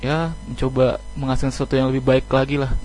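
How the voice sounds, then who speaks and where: Even-toned man chatting casually. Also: medium pitch, fast talker, a little hiss or hum. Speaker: band member being interviewed. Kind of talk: casual radio interview chat.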